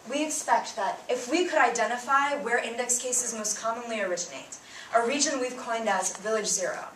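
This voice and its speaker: A woman's voice: fairly high pitch, fairly bright tone, slight background noise. A young woman student, a native Bengali speaker, giving a prepared public talk in an earnest, emphatic way, lifting her voice at the start of each point. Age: young adult. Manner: earnest, emphatic, rising on openers. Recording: roomy sound, mic at a distance.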